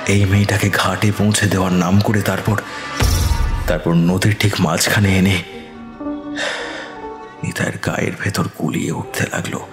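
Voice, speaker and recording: Male horror narrator, very low voice, measured and suspenseful, roomy echo. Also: flat delivery. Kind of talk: scripted horror story narration. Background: eerie background music.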